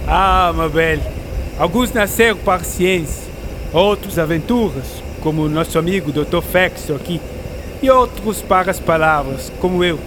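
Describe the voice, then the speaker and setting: An actor playing a proud, curious writer who loves science, theatrical and explanatory, in a radio drama. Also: male voice, high-pitched, moderate pace, background noise, close to an everyday voice. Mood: earnest, explanatory. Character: Jules Verne, proud, curious writer enthusiastic about science. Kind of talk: educational radio drama acting. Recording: music behind a studio radio drama.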